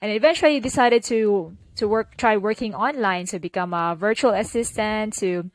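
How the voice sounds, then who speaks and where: A woman's voice: mid-range voice, normal speed, no echo, low-quality recording. A young woman from the Philippines with a Filipino accent, chatting as a podcast guest and filling her talk with "like" and "you know". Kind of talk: casual interview conversation.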